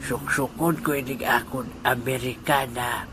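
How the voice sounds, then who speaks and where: A man playing a jovial, slow old grandfather. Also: medium pitch, fairly even intonation, lightly acted. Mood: muttering. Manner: laughing, good-humoured, slow, muttering asides. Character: good-natured old grandfather, joking and easygoing. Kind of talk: amateur audio drama. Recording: noisy amateur recording.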